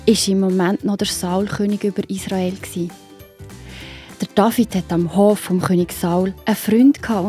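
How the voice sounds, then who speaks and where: A woman's voice: mid-range voice, dark tone. A woman with a Swiss German accent narrating a scripted Bible story for children. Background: music.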